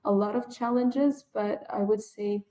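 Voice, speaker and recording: A woman's voice: mid-range voice, fairly even intonation, unhurried, mellow, poor audio. A woman whose first language is Arabic, a guest on a podcast, talking in an earnest, emphatic way about teaching English. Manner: earnest, emphatic, often says definitely. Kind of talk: conversational podcast interview.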